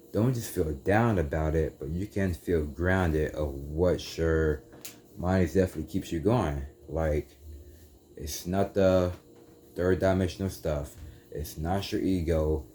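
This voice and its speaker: Deep-voiced man rambling about spirituality. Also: darkish tone, a little hiss or hum. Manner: meandering, self-correcting, casual slang like "dude". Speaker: YouTuber.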